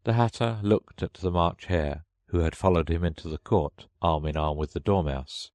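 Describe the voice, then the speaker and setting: A man's voice: low-pitched, moderate pace, a little mellow, no echo. A man reading a children's story aloud as scripted audiobook narration.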